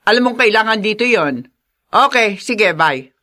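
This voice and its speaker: An actress playing a scheming, indignant middle-aged stepmother in a Filipino radio drama, theatrical and forceful. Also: mid-range voice, lively intonation, moderate pace. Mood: controlled, bossy.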